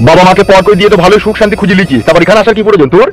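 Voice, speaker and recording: অভিনীত খুব দ্রুত কথা বলা রাগী বাবা. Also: male voice, high-pitched, racing, over music, close to an everyday voice. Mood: furious. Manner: hectoring, abusive rant. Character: furious, foul-mouthed middle-aged village father, cartoon man. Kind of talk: comic Bengali cartoon dubbing.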